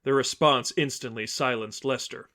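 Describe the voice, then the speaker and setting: A man's voice: mid-range voice, fairly even intonation, normal speed, sharp tone. A man reading scripted fiction as a narrator, in a storytelling style.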